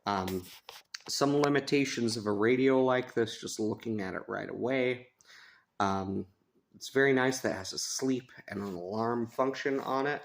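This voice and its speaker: A man's voice: mid-range voice, moderate pace. A man giving a casual, chatty hands-on product review, rambling and self-deprecating as he goes. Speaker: radio reviewer. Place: sunroom at a house.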